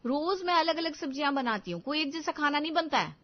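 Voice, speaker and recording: A woman voicing a stubborn, argumentative young mother and housewife in an animated family story. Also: high-pitched, fast, muffled recording, pitched above the performer's own voice. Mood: defensive. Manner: argumentative, insistent.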